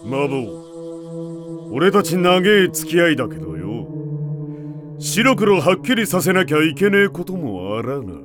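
Loud-mannered slangy anime dad king, highish voice that swoops around, music behind. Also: sing-song, unhurried. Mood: hearty, challenging. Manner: gruff slangy downtown bravado. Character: boisterous, rough-talking festival-loving middle-aged king and father. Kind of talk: Japanese anime audio drama acting.

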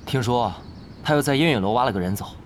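A man's voice: medium pitch, lively intonation, fast talker, a little hiss or hum, lightly acted. A man playing a gossipy online guild member in an audio drama. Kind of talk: Chinese audio drama acting.

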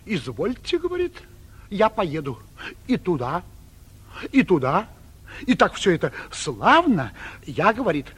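Excitable provincial busybody, very high husky voice, swooping intonation, deferential, rambling, vintage theatrical comedy. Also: male voice, heavily acted. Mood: excited, gossipy. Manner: deferential, rambling, gossipy storytelling. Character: fussy, excitable, timid provincial gossip, a man. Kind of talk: 1940s theatrical stage comedy acting for radio.

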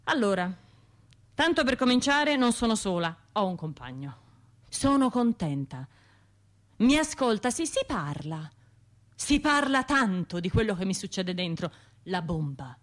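An actress with a Tuscan accent playing a wounded, self-reproaching bombing survivor in an intimate radio drama monologue, halting and colloquial. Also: female voice, mid-range voice, sing-song. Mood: defensive, troubled. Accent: Tuscan Italian. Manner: halting, colloquial, shifting between murmurs and outbursts.

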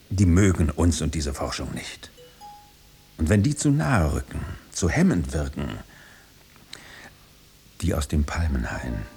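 An actor in a German radio drama playing a sly, commanding man guarding a secret, with a measured, authoritative manner. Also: rumbling low, darkish tone, heavily acted.

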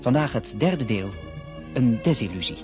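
A man reads a scripted radio play introduction as the announcer. Loud music plays along with his medium-pitched voice. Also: animated, normal speed.

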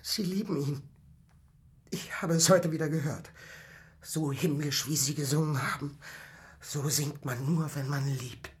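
A man's voice: a little high, fairly even intonation, measured pace, pauses often, close to an everyday voice. An actor in a German radio play playing a passionate young man in love, intense, wounded and pleading. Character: passionate young male lover, desperate and wounded.

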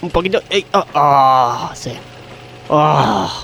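An actor playing a cheeky male factory worker in a radio comedy, deadpan, with an Argentine accent. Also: on the high side, slow, slight background noise. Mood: directing, relieved. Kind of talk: comedic radio theatre acting.

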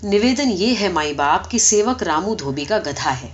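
A woman's voice: lowish voice, animated, lo-fi sound, lightly acted. A woman voicing an earnest, persistent talking donkey who pleads respectfully and argues back in a story reading. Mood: sorrowful pleading. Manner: pleading, deferential yet argumentative.

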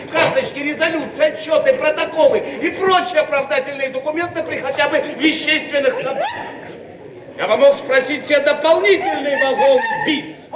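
An actor playing a pompous, self-important Soviet bureaucrat in a theatrical satirical radio comedy, officious and oratorical. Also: male voice, extremely high pitch, highs cut off. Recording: old radio archive, echoey, distant, noisy.

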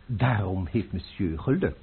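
A vain, ceremonious chef who talks about his cooking as art. His husky voice swoops up and down with a lot of flourish. The old recording sounds thin, as if heard down a phone line. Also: on the low side, animated, unhurried, heavily acted. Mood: pompous. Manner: haughty, ceremonious, insistent. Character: proud, pompous male restaurant chef. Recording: tinny old radio recording.

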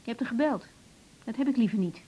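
A woman's voice: fairly high pitch, animated, fast talker, warm tone, husky. An actress playing an earnest, wounded young female doctor in a radio drama, emotionally open and introspective. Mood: guarded, irritated. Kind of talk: naturalistic radio drama acting, diary-like monologues. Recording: dull radio recording with music.

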